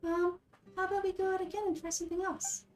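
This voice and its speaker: A woman voicing a tiny, excitable, nosy fairy companion in amateur game dialogue, exclamatory and speaking of itself by name. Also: very high-pitched, quick pace, faint music behind, pitched above the performer's own voice. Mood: puzzled. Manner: exclamatory, refers to self by name.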